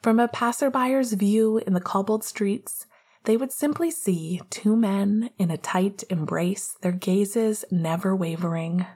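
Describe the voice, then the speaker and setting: A woman reads fiction aloud in a breathy, husky voice. Her delivery is slow and intimate, with a soft, mellow tone suited to a romantic story. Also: mid-range voice. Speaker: podfic reader. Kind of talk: scripted fiction narration.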